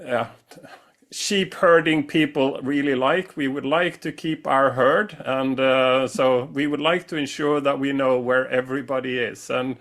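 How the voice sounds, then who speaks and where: Halting, effusive male interview host. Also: mid-range voice, fairly even intonation, a bit bright. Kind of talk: casual, unscripted interview hosting.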